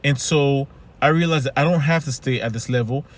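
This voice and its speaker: A man's voice: mid-range voice, brisk, slight background noise. A man with a Haitian accent giving an earnest motivational talk as a life coach, often asking "right?". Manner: earnest, repeats "right?" and "keep it moving".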